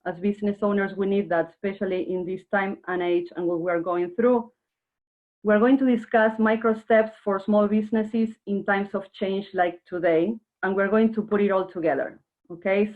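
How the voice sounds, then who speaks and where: A woman's voice: mid-range voice, moderate pace. A woman from Argentina giving an earnest workshop presentation online, speaking directly to her audience. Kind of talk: webinar presentation. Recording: narrow phone-like online call audio.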